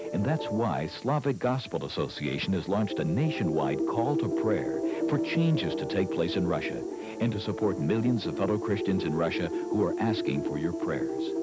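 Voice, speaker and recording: A low-voiced man narrates a grave 1980s TV appeal. His delivery is emphatic and ominous, with wide swings in pitch, and loud music runs behind him. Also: low-quality recording. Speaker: public service announcement narrator.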